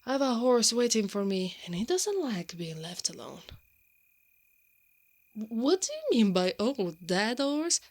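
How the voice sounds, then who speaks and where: A woman's voice: fairly high pitch, sing-song, unhurried, darkish tone. A woman playing a brave, brash knight in an ASMR roleplay, protective and secretly smitten, with a defiant, exasperated manner that gives way to flustered stammers. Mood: possessive, firm.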